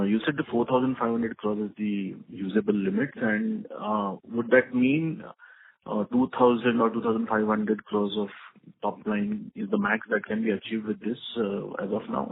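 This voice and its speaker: Investor man on the line, gravelly and lively pitch, tinny sound with light noise. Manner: seeking clarification, tentative. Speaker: investor asking questions. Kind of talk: earnings call question.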